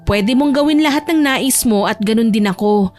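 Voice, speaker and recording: A woman's voice: on the high side, normal speed, a little mellow, soft background music, natural voice. A woman voicing a proud, firm young bride in a romance audio drama, with an emphatic delivery. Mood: firm, bossy.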